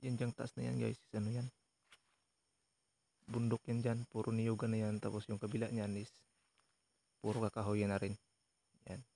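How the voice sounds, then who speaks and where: Low, gravelly young man. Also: monotone, moderate pace, long pauses, dark tone. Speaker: vlog host, ghost hunter. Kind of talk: casual exploration vlog commentary. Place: outdoors at night around an abandoned building. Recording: noisy outdoor recording with insects.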